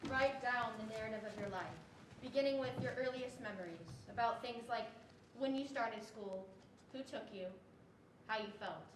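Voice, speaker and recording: A young woman playing a measured therapist guiding a patient in a reader's theatre performance. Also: on the high side, natural voice. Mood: measured, instructive. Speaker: student performer. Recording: echoey room, distant mic, faint noise.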